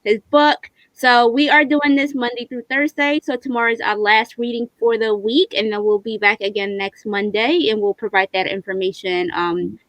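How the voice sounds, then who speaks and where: A woman's voice: a little high, little pitch movement, normal speed, few pauses, a bit bright, dry recording, low-quality recording. A woman hosting a casual livestream in an enthusiastic, appreciative way. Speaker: host.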